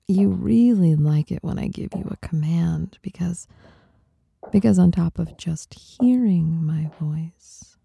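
A woman performing an intimate ASMR monologue in a breathy whisper, seductive, teasing and softly dominant, close to the microphone. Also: on the low side, dry recording. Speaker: performer of adult audio recordings.

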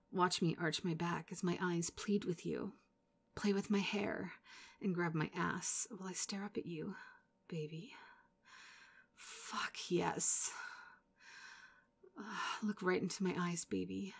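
A young woman speaking softly and intimately in a whispered audio roleplay, talking straight to the listener. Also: on the low side, creaky, breathy edge. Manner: soft, close whispering, addressing the listener directly.

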